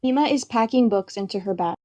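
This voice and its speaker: Mellow woman reading stage directions. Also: on the high side, brisk. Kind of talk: scripted narration.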